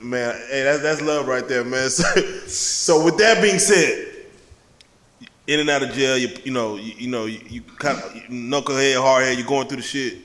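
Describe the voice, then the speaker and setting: A man's voice: mid-range voice, normal speed, a bit bright. Rambling man host, loose slangy questions. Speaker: podcast host. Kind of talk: casual interview. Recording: long reverb in a room.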